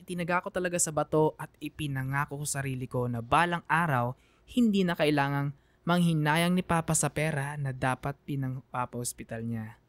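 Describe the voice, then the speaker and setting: A man's voice: medium pitch, dry recording. A man giving dramatic, scripted first-person narration for a radio drama in a heartfelt, emotional manner.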